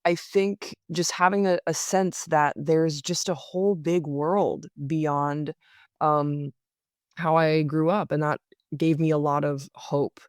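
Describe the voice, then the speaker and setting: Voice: medium pitch, steady tone, takes it slowly, smooth, dry recording. An American guest with an androgynous voice shares personal stories in a casual, reflective way. The voice is clean and resonant, with a self-deprecating, conversational touch, captured very dry with no room sound. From Belgium and the United States. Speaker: interview guest, singer-songwriter. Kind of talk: reflective interview storytelling, casual.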